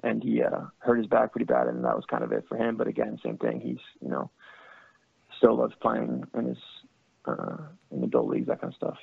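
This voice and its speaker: A man's voice: mid-range voice, very animated, a little fast, creaky, husky, dry recording, narrow, tinny audio. A young man from France and California who plays professional soccer as a goalkeeper, answering interview questions in a thoughtful, easygoing, casual way. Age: young adult.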